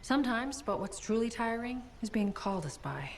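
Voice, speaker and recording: A woman playing a composed, probing intelligence agent in video game cutscene acting, measured and questioning. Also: on the high side, sing-song. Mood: cool, probing.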